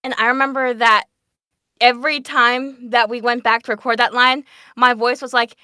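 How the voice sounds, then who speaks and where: A woman casually telling anecdotes on a panel in a giggly, animated way, as a voice actor and dub director. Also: a little high, moderate pace, slight background noise. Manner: giggly, animated storytelling with filler "like".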